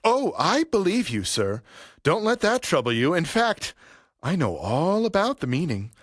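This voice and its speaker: Audio drama barber: coolly threatening, excessively polite, highly animated intonation, slightly high voice. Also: male voice, dry recording, clean studio sound. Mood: taunting, controlled. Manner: servile politeness laced with menace, deliberate. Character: vengeful graying male barber, mock-polite, coolly menacing. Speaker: actor. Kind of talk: audio play acting.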